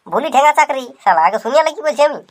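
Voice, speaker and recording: A man's voice: very high-pitched, expressive. A man voicing a pushy village phone-company caller with a Purulia Bengali accent, talking in brash, rustic speech. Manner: brash, quick rustic talk with swearing.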